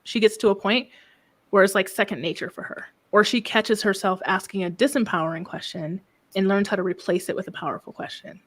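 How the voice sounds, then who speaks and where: A soft-spoken woman business coach talking calmly in a casual interview, often ending thoughts with a questioning "right?". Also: medium pitch, fairly quick. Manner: calm, reflective, frequent "right?" tags.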